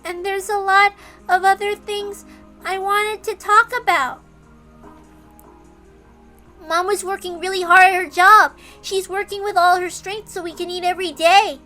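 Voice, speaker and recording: A woman's voice: very high voice, steady tone, unhurried, long pauses, sharp tone, faint music behind, exaggerated voice. A woman voicing a lonely, earnest little girl who is devoted to her mother, in a dramatic story reading. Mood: wistful, hurt. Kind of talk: dramatic reading of a visual novel.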